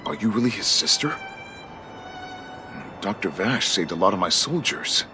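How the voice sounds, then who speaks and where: A man voicing a stern but fair military guard captain in a video game, earnest and deliberate. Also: lowish voice, lively intonation, sharp tone, faint music behind.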